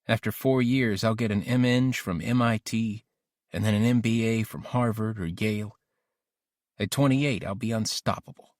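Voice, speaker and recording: A man's voice: lowish voice, no room sound, studio quality, lightly acted. A man narrating an audiobook diary as an ambitious, lovesick teenage boy, introspective and intense. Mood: grandiose. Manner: introspective, self-questioning, intense.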